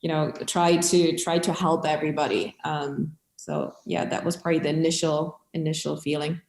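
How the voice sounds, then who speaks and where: A woman's voice: low voice, fairly even intonation, moderate pace, a little mellow. German-accented woman in an echoey room. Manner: chatty and upbeat, with frequent fillers. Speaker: customer success team lead. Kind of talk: casual interview talk.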